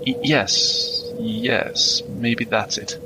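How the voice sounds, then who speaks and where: An actor playing a nervous, polite monk in a comic fantasy audio drama, speaking haltingly. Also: male voice, lowish voice, steady tone, over music, rough recording. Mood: hesitant, uneasy. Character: nervous, hesitant monk in a bleak afterlife.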